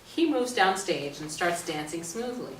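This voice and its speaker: Woman, slightly low pitch, scripted narration of stage directions, distant mic, roomy echo. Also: animated, measured pace. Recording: roomy distant stage recording, faint noise.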